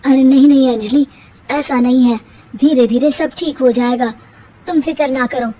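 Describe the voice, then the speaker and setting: A woman's voice: high voice, normal speed, dark tone, slightly rough, low-quality recording. A woman voicing a mild young village husband in a cartoon story, soothing and placating his wife.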